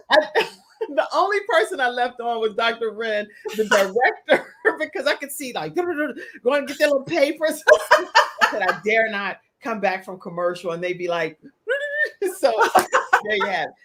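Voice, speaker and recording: A woman's voice: a little high, big pitch swings, moderate pace, background noise. An American woman hosting a live online talk show, chatting in an effusive, encouraging way and addressing viewers by name.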